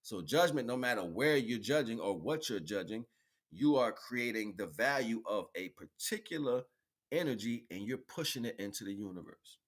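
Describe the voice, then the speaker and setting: A man's voice: on the low side, animated, moderate pace. A man giving an improvised motivational monologue as a livestream host, preaching in colloquial phrasing with emphatic repetition.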